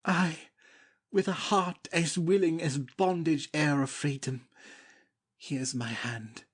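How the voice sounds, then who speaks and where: A man's voice: on the high side, slow, husky. A man playing an ardent, humbly devoted young prince in a dramatic Shakespeare audiobook, declaiming verse fervently. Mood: tender devotion.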